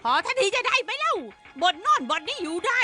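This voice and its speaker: An eager cartoon robot cat, extremely high and very animated. He is helpful and excitable, stumbling into flustered stammers. Music plays prominently behind the voice. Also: brisk. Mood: exasperated. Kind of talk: Thai cartoon dub acting.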